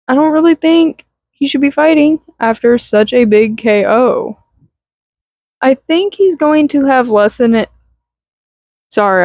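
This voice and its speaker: A woman with a raspy voice giving a candid, rambling rant to her channel, swearing casually. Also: medium pitch, darkish tone, dry recording. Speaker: YouTuber.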